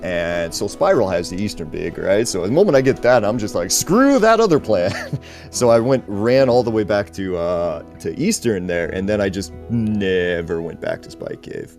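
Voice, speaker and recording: A man's voice: lowish voice, sing-song, moderate pace, darkish tone, faint music behind. A man, a racer chatting casually after a race, rambling and repeating himself as he restarts his sentences. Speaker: tournament racer. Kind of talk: casual post-race chat.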